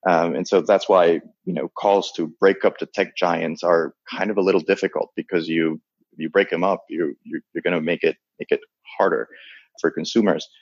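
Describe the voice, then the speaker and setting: A man from the Dominican Republic, Spanish his first language, talks in a deep, gravelly, husky voice. He pauses and restarts often as he works through ideas in an interview. The recording is dry and somewhat dull. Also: fairly even intonation, dry recording. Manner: halting, many restarts and fillers. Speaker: guest. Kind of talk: thoughtful interview talk.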